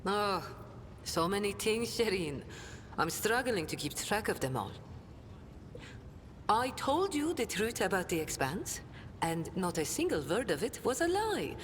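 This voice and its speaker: An actress playing a sly, sardonic smuggler in a video game, with a theatrical, mocking, flowery delivery. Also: female voice, mid-range voice, long reverb tail, a little hiss or hum.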